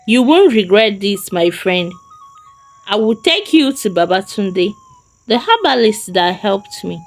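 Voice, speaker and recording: A woman's voice: mid-range voice, very animated, unhurried, soft background music. A woman storyteller voicing a callous, persuasive male friend with a coaxing, dismissive manner in a folktale. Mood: reassuring. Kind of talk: storytelling character voice.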